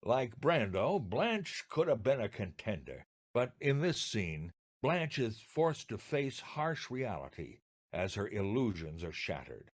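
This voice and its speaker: A man's voice: on the low side, very animated, takes it slowly. A man co-hosting a podcast, reading scripted introductions. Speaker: podcast co-host.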